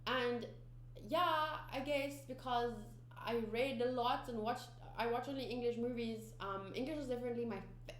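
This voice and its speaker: A woman's voice: a little high, moderate pace. Chatty South African woman, echoey room. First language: Afrikaans. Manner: rambling, chatty, many fillers and restarts. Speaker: anime reaction channel host. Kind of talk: casual talk to viewers. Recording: echoey room with faint background noise.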